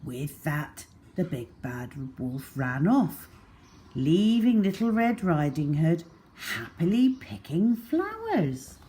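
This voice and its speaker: A woman telling a children's story in an expressive storybook narration style. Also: low voice, slow.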